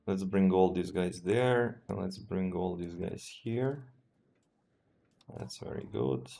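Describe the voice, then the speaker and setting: A man with a Serbian accent, a streamer thinking aloud as he plays a strategy game in a relaxed, halting way and answering chat. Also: low voice, vocal fry. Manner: relaxed, thinking aloud, often trails off and restarts. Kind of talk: live gameplay commentary.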